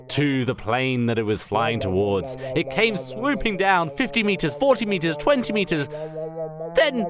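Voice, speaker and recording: Animated man, theatrical comic narrator. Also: medium pitch, noisy background. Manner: theatrical, rambling, self-correcting. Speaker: podcast host narrating a story. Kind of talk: improvised comic radio play narration.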